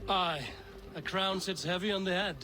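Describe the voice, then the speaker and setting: A man's voice: sits high, moderate pace, over music, lightly acted. A man playing a stoic, blunt Norse warrior in a video game, speaking in terse, dry lines. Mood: measured, blunt. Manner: terse, plain-spoken, dry.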